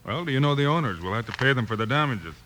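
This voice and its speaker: An actor playing a steady, plainspoken lawman hero in a 1950s radio western. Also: mid-range voice, rapid-fire, close to an everyday voice. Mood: firm, calm. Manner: plainspoken, authoritative. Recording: noisy old radio recording.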